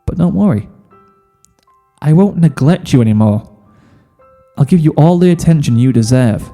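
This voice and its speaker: A man's voice: a little high, lively intonation, moderate pace, dark tone, long reverb tail, faint music behind, pitched above the performer's own voice. A man voicing a bashful, apologetic young lover, earnest and hesitant, in a fan fiction reading. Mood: fond, reassuring.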